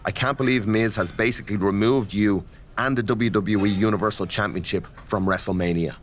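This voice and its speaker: A man acting as an eager, sympathetic wrestler in a game cutscene. His conversational voice is low and earnest, with faint noise behind. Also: brisk, natural voice. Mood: concerned. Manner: earnest, supportive. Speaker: wrestler character.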